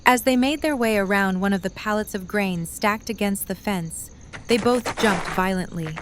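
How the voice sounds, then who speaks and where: A woman's voice: medium pitch, moderate pace, noisy background. A woman narrator reading a scripted story for an audio drama.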